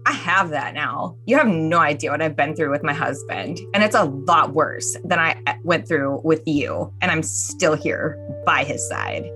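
A woman's voice: low-pitched, measured pace, hardly any pauses, a bit bright, faint music behind. A woman playing a plain-spoken, wary young woman in a dramatic reading of text messages. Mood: defiant. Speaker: podcast cast reader.

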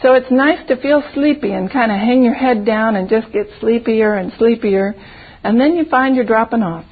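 A woman voicing a small, cheerful storybook flower with a thin, soft voice and a folksy old-time country dialect. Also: fairly high pitch, normal speed, breathy edge, phone-quality sound. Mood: cheerful, contented. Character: small cheerful talking flower, laughing at death.

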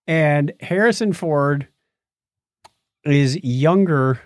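A man in middle age or older, his voice a little higher than most men's, co-hosts a podcast. He sums things up in casual, wry, joking talk, recorded close and very dry. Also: very slow, no room sound. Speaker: podcast co-host. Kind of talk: casual chat, summarizing articles.

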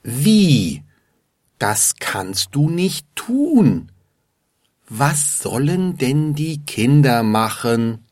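A man playing a stubborn husband in a slow, scripted dialogue for learners. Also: mid-range voice, big pitch swings, darkish tone, no echo, clean studio sound, voice pushed up, heavily acted. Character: stubborn husband arguing with his wife.

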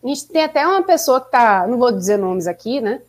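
Upbeat female stream co-host, slightly high voice, chatty delivery. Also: big pitch swings, fairly quick. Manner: chatty, upbeat, urging viewers to like and subscribe. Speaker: podcast co-host. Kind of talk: casual live-stream chat.

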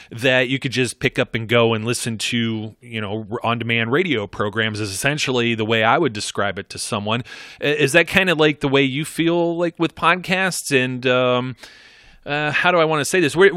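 A man's voice: mid-range voice, pushed and bright, no room sound, clean studio sound. A man in his thirties hosting a podcast, talking in a loose, rambling way with lots of restarts. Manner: rambling, many fillers and restarts. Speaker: podcast host. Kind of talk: conversational interview.

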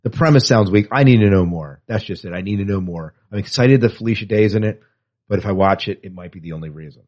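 A man's voice: lowish voice, fast, a little mellow. A man co-hosting a podcast, chatting casually and with a fan's enthusiasm about entertainment news, on a lo-fi recording. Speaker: podcast co-host. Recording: dull, lo-fi podcast audio.

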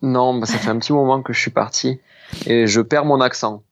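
A man in his thirties from southwest France, a pastry chef telling his story in a relaxed, unhurried way in an interview. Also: medium pitch. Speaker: pastry chef and business founder. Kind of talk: casual interview conversation.

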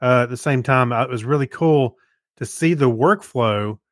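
Male podcast co-host, mid-pitched voice, hesitant phrasing, casual chat, dry sound. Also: dry recording. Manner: halting, searching for words. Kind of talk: casual chat about TV shows.

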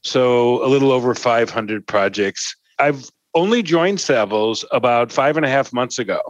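A man's voice: mid-range voice, animated, moderate pace, no room sound, muffled recording. A man in his fifties giving polished, jargon-heavy interview answers about his work. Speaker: guest, workplace strategy director.

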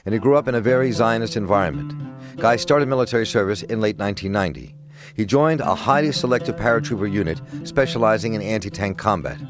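Deep-voiced male radio interviewer. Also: animated, fast, over music. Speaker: interviewer and host.

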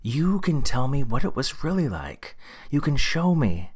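A man acting an eager, awkward guy, animated delivery. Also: medium pitch, a little slow, breathy edge. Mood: earnest, eager. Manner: earnest, slightly hesitant. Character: eager, awkward young man, a disco-obsessed time traveller. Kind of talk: acted dialogue in an audio story. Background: music.

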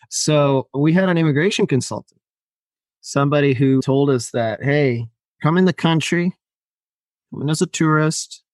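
Finnish man, native Finnish speaker, animated podcast guest, mid-pitched voice, dry recording. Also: no echo. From Finland. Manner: animated storyteller who acts out quoted lines and laughs often. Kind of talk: casual storytelling interview.

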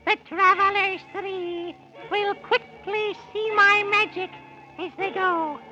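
A wicked old witch from 1930s radio, high and piercing. She stretches out her gloating rhymes theatrically, very slowly, over music on an old muffled recording. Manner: drawn-out theatrical rhyming delivery. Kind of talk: 1930s radio serial villain acting.

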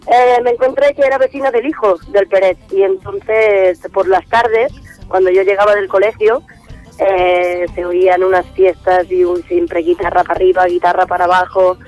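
A female singer from Barcelona answers a radio host's questions. She speaks in a relaxed, reflective way, taking her time with her thoughts. Light music plays faintly behind. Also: medium pitch, moderate pace, lo-fi sound. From Barcelona, Spain. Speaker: singer, guest.

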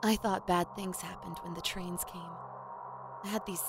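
A woman playing a frightened, embarrassed young woman in an audio horror drama, hushed and hesitant. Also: fairly low pitch, fairly even intonation, moderate pace, vocal fry, noisy background.

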